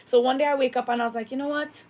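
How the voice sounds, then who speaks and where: A woman's voice: sits high, steady tone, racing, crowd noise. A young woman from Trinidad, a singer guesting in a radio studio, chatting and telling her story with acted-out quotes. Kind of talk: casual radio interview.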